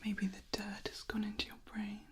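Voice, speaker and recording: A woman voicing a possessive female vampire in an ASMR roleplay, speaking in a soft breathy whisper with a formal, gently scolding manner. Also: medium pitch, monotone, moderate pace, slight background noise, natural voice. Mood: gently chiding. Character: possessive female vampire, scornful of humans, doting.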